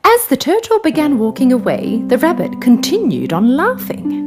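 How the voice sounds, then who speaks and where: High-voiced, very animated woman storyteller. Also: moderate pace. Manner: expressive, dramatic. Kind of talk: scripted children's fable narration. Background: music.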